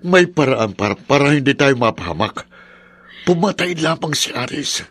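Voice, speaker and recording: Scared pushy dad in radio horror, raspy medium voice, urgent, no echo. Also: male voice, mid-range voice, very animated, moderate pace, a bit bright. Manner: urgent, dramatic. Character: fearful, pressuring father bound to a demon. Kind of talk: Filipino radio horror drama acting.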